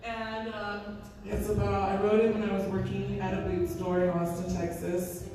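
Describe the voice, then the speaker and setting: A woman in her thirties with a raspy voice, a singer-songwriter chatting on stage between songs in a live music venue, rambling and self-deprecating. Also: medium pitch, little pitch movement, moderate pace. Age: 30s. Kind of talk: casual stage banter. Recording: echoey room, mic from a distance.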